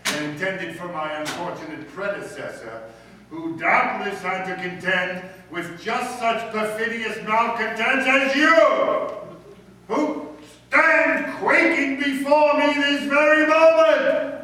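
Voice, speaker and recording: An actor playing a pompous, blustering middle-aged school principal in sarcastically formal radio comedy style. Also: male voice, very high voice, slow pace, pushed and bright, exaggerated voice. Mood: gloating, angry. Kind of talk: old-time radio comedy acting. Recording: echoey room from far off, faint noise.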